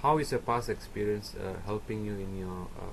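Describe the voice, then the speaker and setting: A man's voice: lowish voice, a little fast, a bit bright, a little hiss or hum, low-quality recording. A man interviewing a guest, asking short polite questions.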